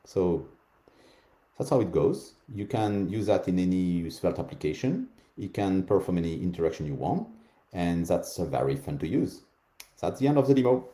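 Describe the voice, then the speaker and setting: A man's voice: low-pitched, very animated, moderate pace, warm tone, echoey room. A man with a French accent, a native French speaker, giving a live conference demo and calling out voice commands between explanations.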